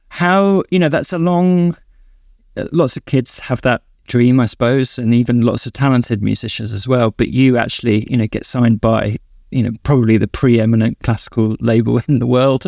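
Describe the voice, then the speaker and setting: A man's voice: medium pitch, vocal fry, darkish tone, no echo, studio quality. A man with a British accent, asking questions conversationally as a podcast host. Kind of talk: casual conversational interviewing.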